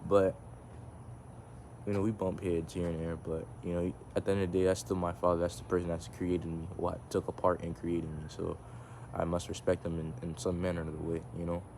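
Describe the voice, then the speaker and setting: Male vlogger, deep voice, casual and reflective, phone mic outdoors, slight background noise. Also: brisk, rough recording. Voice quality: can go monotone. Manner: rambling, reflective, many restarts and filler. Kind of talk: casual talk to camera. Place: outdoors in the sun. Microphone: phone camera.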